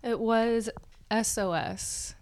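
A woman's voice: fairly high pitch, fairly even intonation, slow, a little mellow, a little hiss or hum. A young woman co-hosting a podcast, chatting casually about celebrity gossip and giggling often. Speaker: podcast co-host.

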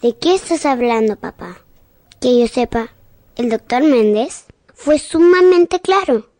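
A woman's voice: fairly high pitch, lively intonation, measured pace, darkish tone, dry recording. A woman playing a serious, disillusioned seven-year-old daughter in a radio drama, speaking earnestly and wistfully. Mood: pleading, disillusioned.

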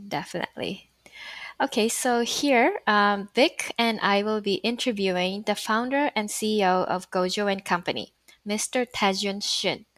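A woman's voice: mid-range voice, normal speed, a bit bright, no room sound. A woman hosting a podcast, introducing the guest and asking friendly questions. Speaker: podcast host. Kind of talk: hosting an interview.

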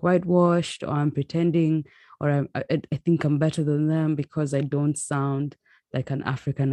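A young woman from Kenya with a Kenyan accent, chatting casually in an animated way. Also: sits low, no echo. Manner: animated, quick reactions and laughter. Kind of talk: casual chat.

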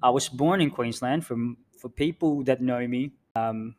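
A man who grew up in the Philippines, co-hosting a podcast in a laid-back, self-deprecating way with many pauses and um's. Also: mid-range voice, a little mellow. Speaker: podcast co-host. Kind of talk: casual storytelling chat.

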